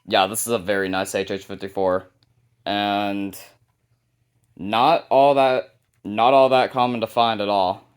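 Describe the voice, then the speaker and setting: Guy with a lowish voice doing a casual collection showcase for his hobby video. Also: flat delivery, a little slow, smooth.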